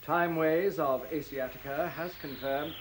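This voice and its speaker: An actor playing a genial, busy middle-aged executive in a science fiction radio play, speaking with hesitations and exclamations. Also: male voice, fairly high pitch, steady tone, moderate pace, noisy background, close to an everyday voice. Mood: busy, businesslike. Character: genial, busy male executive in a future world.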